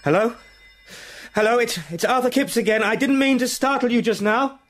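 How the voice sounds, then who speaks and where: A man's voice: extremely high pitch, moderate pace, a bit bright. An actor playing a proper young English solicitor in a radio drama, talking to himself in short, clipped phrases as he steels his nerves. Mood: startled, calling out. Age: young adult. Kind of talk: British radio drama acting.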